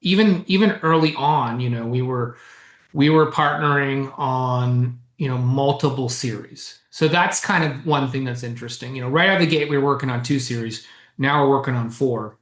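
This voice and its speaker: Animated author guest in a roomy room. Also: male voice, mid-range voice, normal speed. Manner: animated, chuckles often, explains at length. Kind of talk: casual interview chat. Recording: roomy echo.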